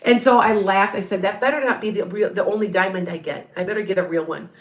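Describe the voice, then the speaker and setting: A woman's voice: mid-range voice, fast, reverberant. A middle-aged woman with a Northern Illinois Chicago-area accent chats casually as a tarot reader answering viewer questions, rambling and restarting, often laughing. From Beloit, Wisconsin area, Midwest US. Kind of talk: casual livestream chat.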